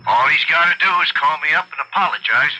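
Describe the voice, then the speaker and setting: Husky, sullen servant. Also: male voice, on the high side, fast talker, pushed and bright, muffled recording. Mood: sullen, grudging. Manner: curt, grudging. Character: proud, sullen fired servant on the telephone. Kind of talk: old-time radio drama acting.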